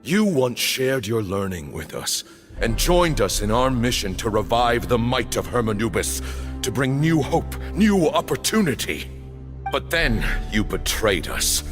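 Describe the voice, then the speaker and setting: An actor playing a stern, accusing old temple leader in a video game, with imperious, deliberate, theatrical delivery. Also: male voice, medium pitch, sing-song, music in the background. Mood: accusing, grave. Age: older. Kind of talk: dramatic video game voice acting.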